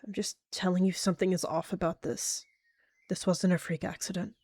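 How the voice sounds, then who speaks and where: A woman's voice: on the low side, level tone, a little fast, darkish tone, no room sound. A woman voicing a guilt-ridden female medicine cat, anguished and insistent, in a dramatic animal roleplay. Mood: troubled, insistent.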